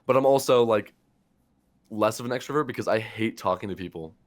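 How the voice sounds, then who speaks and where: Young male podcast co-host, low voice, loose and profane, full of "like" fillers. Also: brisk. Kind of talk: casual chat.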